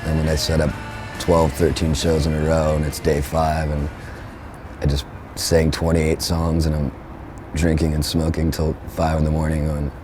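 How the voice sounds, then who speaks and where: Laid-back young man, very low voice. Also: completely flat delivery, moderate pace, music in the background. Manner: laid-back, rambling, with frequent fillers. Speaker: band frontman. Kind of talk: relaxed interview talk.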